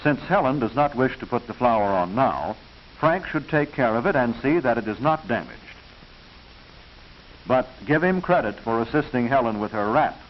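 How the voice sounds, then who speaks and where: An American man reading scripted narration for a 1940s educational film, instructive and proper, with measured enunciation. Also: medium pitch, lively intonation. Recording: hissy, muffled 1940s film soundtrack.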